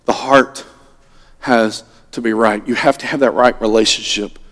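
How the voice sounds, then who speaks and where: A middle-aged man preaching a sermon from a pulpit, swinging between loud animated lines and hushed ones. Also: mid-range voice, moderate pace. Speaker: pastor. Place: church sanctuary at a pulpit. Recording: long reverb of a church hall.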